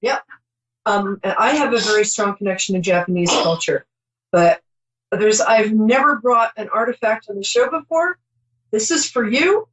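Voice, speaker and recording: A woman's voice: mid-range voice, moderate pace, rough recording. A middle-aged woman hosting a call-in psychic show, chatty and reassuring, rambling with many ums.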